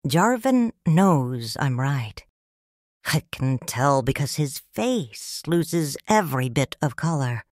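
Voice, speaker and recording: A woman narrating in first person as a grieving widowed noble mother in a fan audio drama, quiet and restrained. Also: sits low, sing-song, no room sound, studio quality, heavily acted. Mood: sorrowful. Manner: slow, hushed storytelling.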